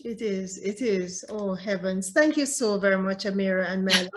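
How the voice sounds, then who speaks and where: A woman interviewing guests on a video call, her voice sitting at an ordinary middle pitch. She is encouraging and affirming, often chuckling softly as she talks. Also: normal speed. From immigrant to Canada since 2013. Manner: warm, affirming, chuckles between remarks. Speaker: podcast host.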